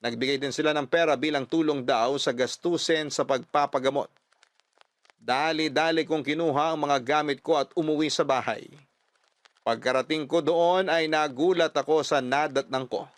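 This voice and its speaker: A Filipino man tells a scripted story as a radio drama narrator. His voice sits a little high, with dramatic delivery, and the studio sound is dry and close. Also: fairly even intonation, moderate pace, no room sound.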